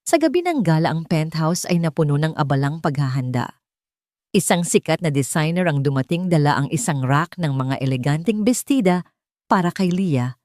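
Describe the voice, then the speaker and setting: Low-voiced Filipino woman narrating a story. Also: lively intonation, normal speed, darkish tone, dry recording, studio quality. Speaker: audiobook narrator. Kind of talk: scripted romance story narration.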